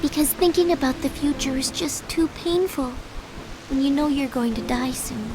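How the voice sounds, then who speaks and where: A woman voicing a gentle, sincere young girl in a game cutscene who speaks softly and thoughtfully. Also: sits high, normal speed, background noise, lightly acted. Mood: tender. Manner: soft, sincere, reflective. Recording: game audio with clear music behind.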